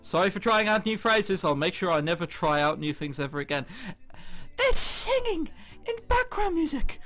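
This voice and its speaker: A man doing let's play commentary, reading visual novel text aloud in an excitable, giggly way with exaggerated reactions. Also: high voice, normal speed. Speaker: video game commentator. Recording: background music under the voice. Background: game music.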